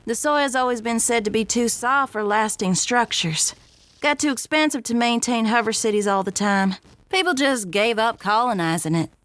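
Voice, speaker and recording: A woman's voice: fairly high pitch, moderate pace, fairly bright tone, no echo, lightly acted. A woman playing a brash, playful space adventurer in an audio drama, with a teasing, breezy manner. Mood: matter-of-fact.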